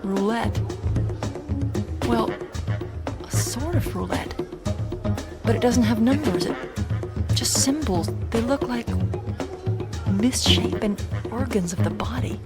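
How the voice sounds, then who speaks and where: An actress playing a curious, wary woman adventurer in a radio drama, musing in an understated, measured way. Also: on the high side, expressive. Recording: loud music behind the voice.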